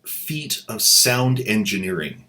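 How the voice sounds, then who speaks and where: A man's voice: lowish voice, sing-song, slow pace, pushed and bright. A man hosting a video, giving mocking, irreverent commentary on an old audio drama. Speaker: channel host and commentator. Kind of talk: sarcastic commentary.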